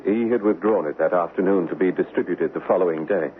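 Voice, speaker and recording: Low-voiced earnest army major played by a man, old radio. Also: quick pace, natural voice. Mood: rueful. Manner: formal, gallant, earnest. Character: earnest, smitten British army major in colonial society. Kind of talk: old-time radio drama acting. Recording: muffled old radio recording with hiss.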